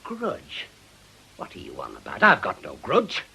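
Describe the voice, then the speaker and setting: An actor playing a bitter, fearful older widower in a British radio drama, sardonic and emphatic, halting when troubled. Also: male voice, medium pitch, huge pitch swings, gravelly, sharp tone, husky, exaggerated voice, poor audio. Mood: scornful, bitter.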